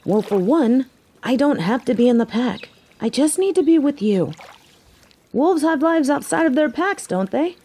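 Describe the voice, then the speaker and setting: A woman's voice: sits high, animated, moderate pace, warm tone. A woman acting in an audio drama as a biker girl, a devoted human mate with a teasing, sarcastic way of talking. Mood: earnest, tender. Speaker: voice actor in an audio roleplay.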